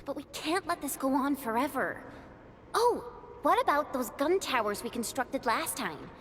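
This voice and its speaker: A woman's voice: sits high, lively intonation, normal speed, fairly bright tone, slight background noise. A woman playing a friendly, spirited young female fighter in a video game, informal and eager. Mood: determined. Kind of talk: dramatic video game cutscene acting. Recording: music behind the voice.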